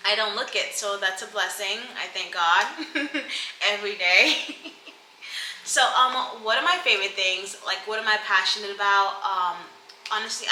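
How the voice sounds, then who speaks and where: A woman's voice: medium pitch, pushed and bright, reverberant, a little hiss or hum, low-quality recording. A woman in her mid-twenties from Cameroon, partly raised in the US, chatting casually and informally to camera as a vlogger, with giggly, rambling asides. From Cameroon, partly grew up in the US. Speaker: vlogger introducing her channel.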